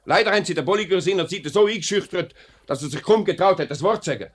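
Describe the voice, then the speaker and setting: Brisk Swiss factory director. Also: male voice, high voice, breathy edge. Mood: stern. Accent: Swiss German. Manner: courteous, firm. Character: measured, authoritative male factory director, fair-minded boss. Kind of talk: 1950s Swiss radio play acting.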